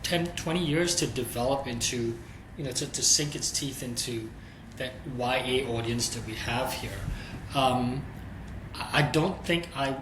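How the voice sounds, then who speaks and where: A man of middle pitch talks through business questions candidly, working out his thoughts aloud. The recording has a roomy echo and a clearly audible background hum. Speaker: streaming executive guest. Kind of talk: conversational interview answers.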